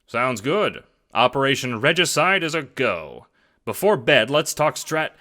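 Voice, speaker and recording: A brash gangster schemer for an audio drama. He talks in cocky, jovial old-time wiseguy fashion, with a bright, punchy edge. He sounds sure of himself and out for his own gain. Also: medium pitch, big pitch swings, measured pace, slight background noise. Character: scheming, brash, egotistical male gangster adventurer.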